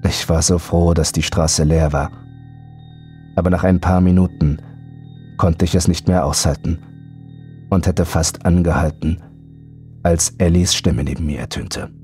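A man's voice: low-pitched, steady tone, a little slow, long pauses, darkish tone. A man reading a scripted first-person horror story as an audiobook narrator. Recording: background music under voice.

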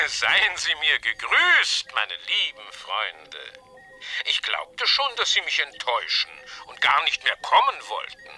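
An actor playing a gloating mad scientist villain in a science-fiction audio drama, theatrical and mock-polite, sneering and drawing out words. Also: male voice, on the high side, wildly swooping pitch, takes it slowly, bright, forceful tone, husky, full character voice. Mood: mocking welcome.

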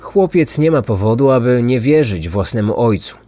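A man's voice: medium pitch, animated, normal speed, warm tone. Male true-crime narrator over music. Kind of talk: scripted dramatic narration. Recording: dry studio voice over music. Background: background music.

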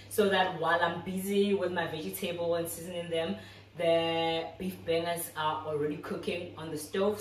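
Young South African woman, lower voice, home cooking vlog, roomy kitchen sound. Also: a little hiss or hum. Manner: chatty, step-by-step explaining to viewers. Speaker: cooking vlogger. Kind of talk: casual cooking walkthrough. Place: small home kitchen, indoors. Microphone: camera a few feet away. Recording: echoey kitchen, distant mic.

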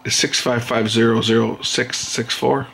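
A middle-aged man talks through a hands-on repair in a conversational, explanatory way. His pitch is average for a man. The recording is made at an indoor workbench, with faint noise behind the voice. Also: level tone, moderate pace, creaky. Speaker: repair video host. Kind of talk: casual hands-on repair commentary. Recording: noisy room recording.

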